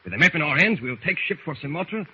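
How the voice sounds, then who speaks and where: An actor playing a scheming, impatient Cockney sailor henchman in rough nautical slang, in old radio drama style. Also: on the high side, very animated, fast, full character voice. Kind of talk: 1940s radio acting. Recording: muffled, noisy old radio recording.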